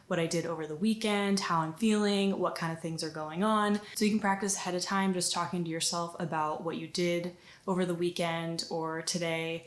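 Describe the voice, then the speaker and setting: Young woman, lowish voice, encouraging vlog tips, roomy echo. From United States. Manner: upbeat, encouraging advice. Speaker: language-learning vlogger. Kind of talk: scripted talk to camera. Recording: echoey room.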